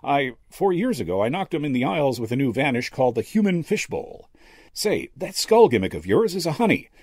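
A man's voice: medium pitch, very animated, brisk, darkish tone, husky, dry recording, studio quality, voice pushed up. A man voicing a slick, cynical younger stage magician with a cocky, slangy drawl, in an audiobook story. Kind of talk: character dialogue in an audiobook story.

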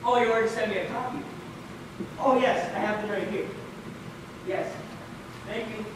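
A fussy, flattering radio show host acted by a young man speaks high and theatrically. It is an amateur stage play, picked up from far off with loud noise. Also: moderate pace, heavy reverb, lightly acted. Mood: flustered, phone patter. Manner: theatrical, projected, exclamatory. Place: large indoor theater stage, far camera.

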